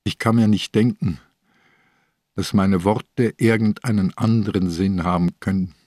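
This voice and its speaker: A man acting a tired, ailing old commissioner in a radio crime play. His voice is low, quiet and terse, with stubborn defiance underneath. It is recorded in a dry studio. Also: steady tone, measured pace, no room sound, close to an everyday voice. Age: older. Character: weary, sick old male police commissioner, quietly defiant.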